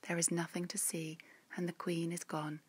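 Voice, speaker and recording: A woman reading her own rhyming children's story in a gentle, measured, expressive way. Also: lowish voice, husky.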